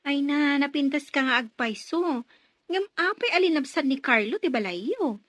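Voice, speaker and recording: A woman's voice: high-pitched, expressive, moderate pace, a bit bright, dry recording. An actress playing a teasing, inquisitive village woman gossiping with a friend in a radio drama. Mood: curious, teasing.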